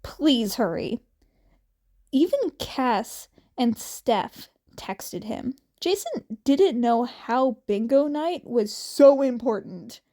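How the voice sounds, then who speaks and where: High-pitched woman reading fiction, unhurried. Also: animated, warm tone. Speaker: amateur podfic reader. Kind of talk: expressive fan fiction narration.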